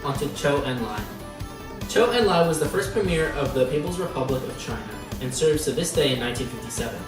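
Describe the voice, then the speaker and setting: A man's voice: a little high, animated, a little fast. A young man presenting an amateur news report. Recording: distant mic, music behind. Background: music.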